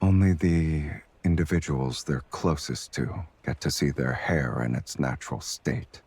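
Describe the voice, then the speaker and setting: Very deep, husky romantic lead. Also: male voice, unhurried, darkish tone, dry recording, full character voice. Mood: tender. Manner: smooth-talking, playfully teasing. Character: confident, teasing, tender male romantic lead on horseback journey. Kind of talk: romance game voice acting.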